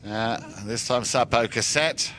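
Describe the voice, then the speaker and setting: A man's voice: medium pitch, measured pace, pushed and bright. A man doing live sports commentary with casual banter. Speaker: polo match commentator. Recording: clear background ambience noise.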